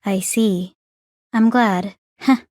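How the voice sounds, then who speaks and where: A woman's voice: mid-range voice, slow, a little mellow, no echo, clean studio sound, close to an everyday voice. A woman playing an aloof, bookish young warrior maiden in a video game, speaking in a composed, formal, precise and detached way. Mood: cool, curious. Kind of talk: English video game voice acting.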